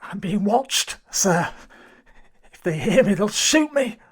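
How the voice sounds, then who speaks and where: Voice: medium pitch, animated, slow pace, slightly rough. An actor playing a terrified, stammering sailor. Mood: fearful. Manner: stammering, fearful, whispery outbursts. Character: paranoid, frightened male seaman. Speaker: seaman. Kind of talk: amateur radio drama acting.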